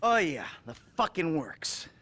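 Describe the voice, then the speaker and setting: An actor playing a troubled, foul-mouthed middle-aged American criminal in a video game cutscene, halting and wry. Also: male voice, a little high, big pitch swings, bright, forceful tone, exaggerated voice. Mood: confessional, anguished.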